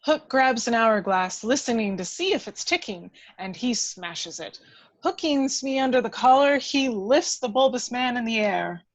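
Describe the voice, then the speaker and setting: A woman's voice: on the high side, expressive, normal speed. A woman reading scripted action descriptions as the narrator of a table read. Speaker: stage-direction reader.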